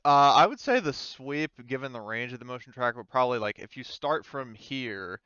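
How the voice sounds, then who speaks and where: Male game master, casual improvised narration, smooth tone, close dry sound with slightly dull fidelity. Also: mid-range voice, expressive, moderate pace, sharp tone, dry recording.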